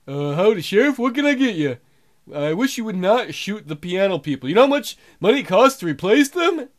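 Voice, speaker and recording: A man's voice: sits high, big pitch swings, a little fast. A man voicing a fussy, aggrieved Old West bartender with an indignant, complaining manner in a game.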